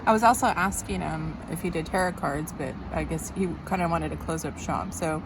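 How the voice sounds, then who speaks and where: A woman's voice: low voice, brisk. A woman vlogging outdoors, chatting casually to camera and to the people with her. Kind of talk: casual chat to camera and companions. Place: outdoors in a public place. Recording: loud outdoor wind and street noise.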